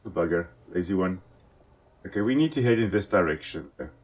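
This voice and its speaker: A man's voice: deep voice, animated, fairly quick, darkish tone. A man from South Africa with a South African accent and Afrikaans as his first language, giving casual, enthusiastic gameplay commentary as a let's play host. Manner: enthusiastic, chatty, talks to the animals on screen.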